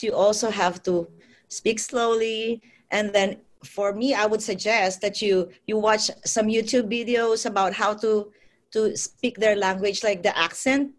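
A woman with a Filipino accent, a nurse speaking hesitantly in a panel discussion and using many fillers. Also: medium pitch. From Philippines.

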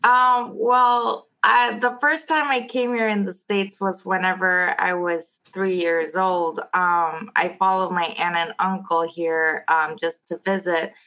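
A woman's voice: on the low side, fairly even intonation, takes it slowly, hardly any pauses, bright, forceful tone. A young woman from South Korea, working as a nurse, answering interview questions hesitantly with many ums.

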